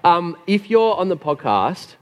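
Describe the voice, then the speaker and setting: A man preaching a sermon with a gravelly, hoarse voice after being sick, chatty and joking. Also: high voice, big pitch swings, a little slow. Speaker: pastor. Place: church hall. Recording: long hall reverb.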